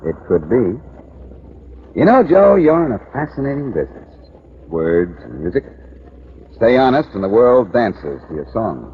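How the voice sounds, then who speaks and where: An actor playing a confident, wisecracking amateur sleuth in a glib, theatrical 1940s radio detective style. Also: male voice, fairly low pitch, big pitch swings, normal speed, slightly rough, heavily acted. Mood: pointed, needling. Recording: dull, noisy vintage 1940s radio recording.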